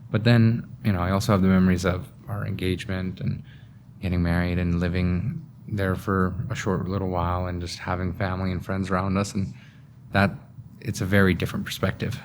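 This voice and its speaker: A young man from Afghanistan giving a personal account in a documentary interview. He speaks slowly and haltingly, with pauses and restarts. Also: low-pitched, flat delivery, a little hiss or hum.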